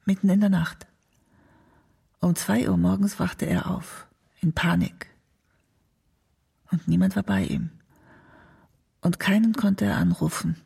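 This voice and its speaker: An actress playing a close confidant recalling a troubled friend in a radio drama, in a husky, creaky voice. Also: female voice, mid-range voice, expressive, slow, pauses often, warm tone, heavily acted. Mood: hushed concern. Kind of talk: scripted radio documentary drama.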